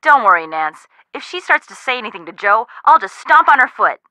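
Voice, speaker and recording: Game role: teasing no-nonsense young woman, high piercing tone, dry studio sound. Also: sing-song, brisk, pushed and bright, no room sound. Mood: wry, teasing. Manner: wry, sarcastic, blunt. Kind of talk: video game voice acting.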